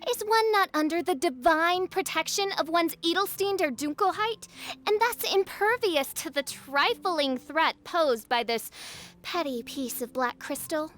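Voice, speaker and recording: Casting: theatrical, haughty girl princess in a game, high voice, pompous declamation. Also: animated, measured pace, fairly bright tone, heavily acted. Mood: haughty indignant. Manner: grandiose, archaic, florid declamation. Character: haughty theatrical young self-styled princess of doom.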